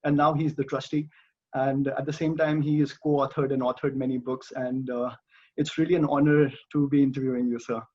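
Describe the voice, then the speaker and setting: A man's voice: medium pitch, little pitch movement, a little fast, warm tone. A man conducting a polite, formal interview over a video call, hesitant and deferential. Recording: noisy, dull video call.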